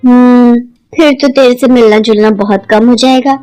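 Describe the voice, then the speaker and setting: A woman's voice: fairly high pitch, fairly even intonation, moderate pace, mellow, lightly acted. A woman plays a gossipy neighbour from a cartoon household tale. She is chummy, chatty and conspiratorial, with music playing behind her words. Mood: curious.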